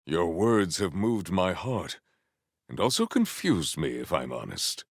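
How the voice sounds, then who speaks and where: An actor playing a noble, earnest warrior hero in a video game, speaking in a pompous, formal and grandiose way. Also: male voice, deep voice, sing-song, unhurried, no echo, clean studio sound, exaggerated voice. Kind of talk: video game character voice acting.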